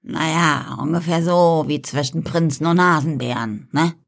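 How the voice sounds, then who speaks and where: A man voicing a cheeky, sarcastic female frog in a storybook reading, teasing and unhurried. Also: a little high, gravelly, fairly bright tone, pitched above the performer's own voice.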